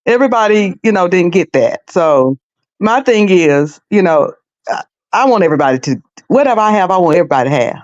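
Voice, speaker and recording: A woman's voice: lowish voice, expressive, fairly quick, darkish tone, no echo, lo-fi sound. A woman guest on a panel, talking earnestly and casually about sharing what she has.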